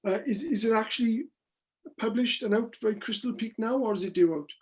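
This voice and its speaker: A man's voice: high-pitched, fairly quick. An older man conducts a casual interview. His delivery is conversational and curious. He tends to ramble as he works his way toward each question.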